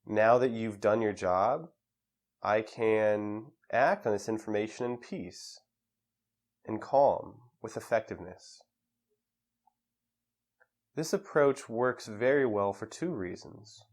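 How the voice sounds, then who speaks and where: A man leading a guided meditation in a calm, measured way with pauses between phrases. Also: on the low side.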